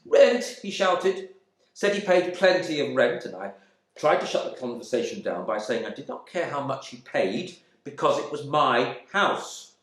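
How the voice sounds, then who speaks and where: In an echoey room, a male actor plays a stuffy, indignant husband home from the war delivers a dramatic monologue. His is full of pompous, narrow-minded affront, and his pitch swings widely between muttering and outburst. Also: sing-song, unhurried. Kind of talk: dramatic monologue reading from a novel.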